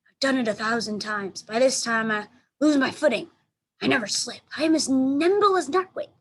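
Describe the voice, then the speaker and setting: A woman teacher reading a children's storybook aloud in expressive narration. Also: high-pitched, normal speed. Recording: clear background noise.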